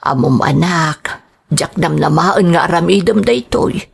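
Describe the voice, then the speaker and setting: A woman's voice: lowish voice, measured pace, gravelly, breathy edge, full character voice. A woman playing a humble, loving mother in a radio drama, earnest and plaintive, with an Ilocano accent. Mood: tender, concerned. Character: humble, grateful middle-aged mother, worried and loving.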